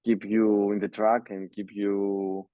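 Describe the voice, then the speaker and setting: A young man from Greece speaks accented English in a low, mellow voice. He hesitates and restarts his sentences often, filling gaps with "uh". The sound is dry and dull, like lo-fi call audio. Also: flat delivery, no room sound. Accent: Greek. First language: Greek. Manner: halting, many fillers and restarts. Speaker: music producer, guest. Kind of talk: casual interview.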